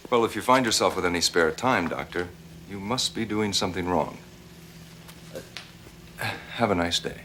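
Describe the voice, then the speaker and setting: A man playing a composed military colonel, speaking in a measured, solemn storyteller's way in a television drama. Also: sits low, a bit bright, slight background noise. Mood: dry.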